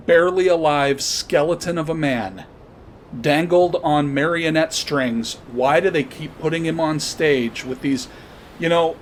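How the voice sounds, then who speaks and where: A man hosting a podcast, giving unscripted political commentary in a blunt, profane, halting way with pauses and repeated words. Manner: halting, searching delivery with pauses and repetitions. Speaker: podcast host. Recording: noisy, with low rumble behind. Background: noise and rumble.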